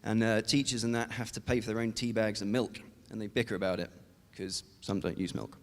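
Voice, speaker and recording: A man, a developer, giving a chatty startup pitch with frequent ums and uhs. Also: level tone, a little fast. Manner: chatty, with many fillers. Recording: long hall reverb.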